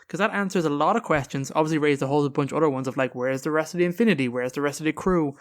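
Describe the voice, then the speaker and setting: A man's voice: on the high side, very fast. A man hosting a podcast, talking casually and informally with emphatic opinions. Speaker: podcast host.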